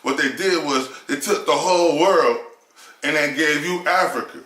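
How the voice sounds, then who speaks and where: A man's voice: fairly high pitch, normal speed, pushed and bright. A man in a heated casual discussion, arguing emphatically with rhetorical questions and profanity. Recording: roomy echo.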